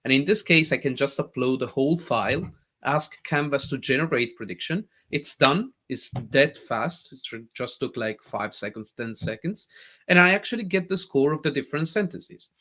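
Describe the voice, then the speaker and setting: A man with an Italian accent, a native Italian speaker, eagerly walking viewers through a live software demo as a tech presenter. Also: medium pitch, normal speed. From Italy. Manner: eager, animated explaining.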